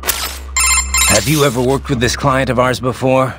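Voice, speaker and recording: An actor playing a blunt, determined cyborg mercenary in a video game, with a terse, dry, gruff delivery. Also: male voice, mid-range voice, steady tone, measured pace, noisy background, natural voice. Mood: serious, determined. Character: sardonic, hard-bitten male cyborg mercenary, blunt and determined. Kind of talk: video game radio dialogue acting.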